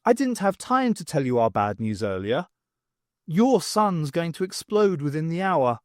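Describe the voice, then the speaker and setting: A cool-headed adventure heroine, read by a man, bluffs with dry defiance. The pitch is a little high and very mobile. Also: sing-song, unhurried, no echo.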